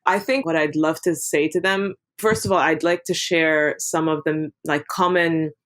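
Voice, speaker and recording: Guest therapist, woman from Lebanon, slightly low voice, calm explanatory podcast talk. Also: normal speed. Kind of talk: casual podcast interview.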